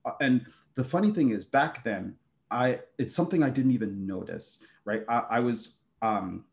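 A middle-aged man with German as his first language talks through a video call from his room at home, pausing often, starting sentences over and filling gaps with "uh" and "um". His voice sits at an ordinary, middle pitch for a man. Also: expressive, gravelly. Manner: hesitant, many fillers and restarts. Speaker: game designer, keynote speaker. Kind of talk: conversational panel talk.

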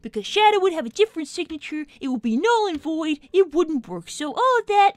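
A woman's voice: extremely high pitch, very animated, fairly quick, no echo. A woman voicing an earnest, chatty young boy cartoon fox who hosts a game livestream in character. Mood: earnest, concerned. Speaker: livestream host playing a game. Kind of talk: in-character casual game commentary.

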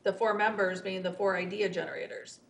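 Creaky-voiced woman at a meeting. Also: medium pitch, fairly even intonation, a little fast. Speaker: school board member. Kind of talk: deliberating at a public meeting. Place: public meeting room, indoors. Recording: distant mic, faint room noise.

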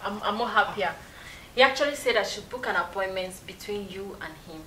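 A woman playing an eager young wife and employee in a skit, gushing and grateful, then shrill and upset, recorded with background noise. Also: fairly quick, sharp tone, echoey room, natural voice, rough recording. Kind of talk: amateur skit acting.

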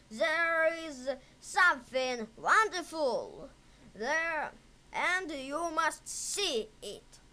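A woman voicing a persuasive storybook uncle, a male villain, in measured, emphatic phrases. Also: sits high, sharp tone, full character voice. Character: smooth-talking, coaxing adult uncle, male storybook villain.

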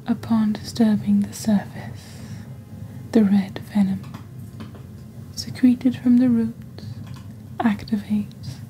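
Woman playing a slow, whispering witch saleswoman. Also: medium pitch, close to an everyday voice, poor audio. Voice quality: soft, whispery, breathy. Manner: slow, deliberate, measured sales patter. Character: witch shop host peddling dark potions and poisons. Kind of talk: soft-spoken ASMR shopping-channel presentation.

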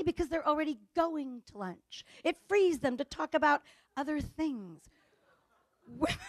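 A woman with a Chicago accent giving a humorous conference talk on gender differences, telling stories and acting out dialogue with lively delivery. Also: sits high, no echo. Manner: lively storytelling with acted-out dialogue. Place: conference stage before an audience.

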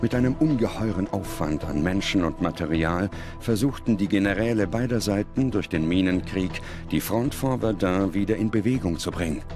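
A man reads scripted documentary narration in a measured, serious manner. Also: low-pitched. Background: music.

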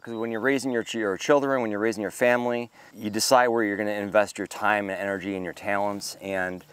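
Earnest, smooth-voiced man talking outdoors. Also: on the low side, no room sound. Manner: earnest, grateful, thoughtful with frequent fillers. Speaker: homesteading channel host. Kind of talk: casual devotional talk to camera. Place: outdoors in a park-like yard with trees. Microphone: camera close up at arm's length.

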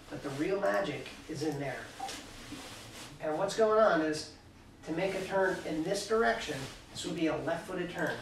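A middle-aged man coaching skiing, calmly explaining foot movements and exercises while he demonstrates in a cluttered indoor workshop, filmed by a camera across the room. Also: fairly high pitch, creaky, a little hiss or hum. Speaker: ski coach. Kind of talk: instructional demonstration. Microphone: far camera. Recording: echoey room, mic far off.

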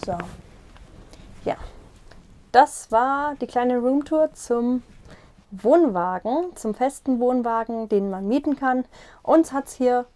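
Young woman, slightly high voice, casual tour-guide chat, lapel mic in a small caravan. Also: lively intonation, takes it slowly, long pauses, warm tone. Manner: friendly, explaining as she walks and points. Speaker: vlogger giving a tour.

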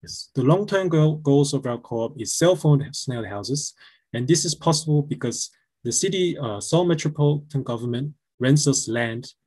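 Husky man presenting with halting fillers. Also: medium pitch, lively intonation, a little mellow. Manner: halting, many filler sounds. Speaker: presenter of a housing co-op. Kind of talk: conference presentation over video call. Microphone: video call.

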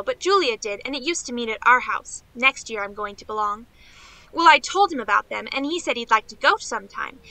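A woman's voice: a little high, sharp tone, poor audio. A woman playing a naive, eager young niece in a radio play, chattering on in rambling run-on sentences.

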